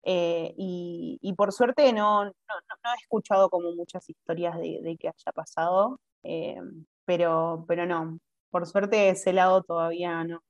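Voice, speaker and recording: Woman, Argentine accent, interview guest, hesitant, filler-heavy, lowish pitch, dry close sound. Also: fairly even intonation, a little slow, dry recording, muffled recording. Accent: Argentine Spanish. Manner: hesitant, many fillers and restarts, laughs often. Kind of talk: casual interview conversation. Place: abroad, remote call.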